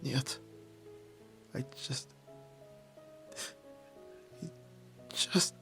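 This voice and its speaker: A young man playing a guarded tsundere nerd turned yakuza in an ASMR roleplay, halting and stammering with breathy sighs, tender underneath. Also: sharp tone. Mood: confused, conflicted. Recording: music behind. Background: background music.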